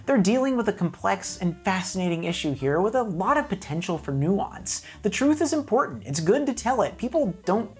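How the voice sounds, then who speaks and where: A woman's voice: mid-range voice, sing-song, a little fast, few pauses, soft background music. A woman narrating a scripted comedic video review in a wry, mock-serious, emphatic way.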